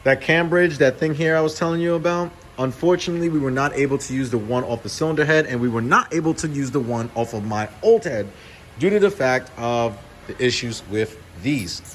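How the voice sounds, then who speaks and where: A man's voice: medium pitch, fairly quick, a little hiss or hum. A man in his thirties hosting a car vlog, talking casually and often correcting himself mid-sentence. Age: 30s. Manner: casual, with restarts and self-corrections. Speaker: car channel host. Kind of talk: casual shop-floor vlog. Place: auto repair shop, indoors.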